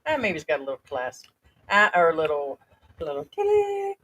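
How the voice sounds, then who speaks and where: A woman's voice: low voice, huge pitch swings, normal speed, fairly bright tone, slightly rough. A woman from the United States co-hosting a casual chat, laughing often and reading a story aloud with comments. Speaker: co-host.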